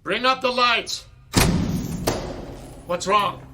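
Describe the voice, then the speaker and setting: An actor playing a stern, impatient middle-aged college theater director in a radio drama. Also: male voice, sits high, extremely slow, loud music behind the voice.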